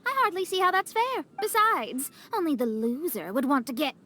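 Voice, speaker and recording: Very high, perky young girl. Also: very animated, moderate pace, pushed and bright, heavily acted. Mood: indignant, teasing. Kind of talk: video game voice acting.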